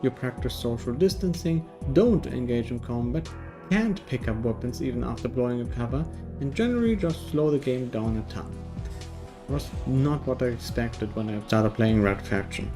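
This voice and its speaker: A man's voice: medium pitch, lively intonation, moderate pace, over music. A man from Germany, narrating a casual game review in a dry, wry manner.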